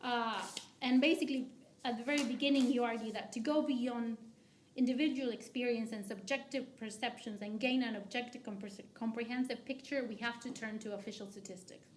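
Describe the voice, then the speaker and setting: A woman from Argentina giving academic commentary as a discussant, halting often with restarts and fillers. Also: fairly high pitch, fairly even intonation, a little mellow, reverberant, a little hiss or hum. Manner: hesitant, many restarts and fillers. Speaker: discussant at a book talk.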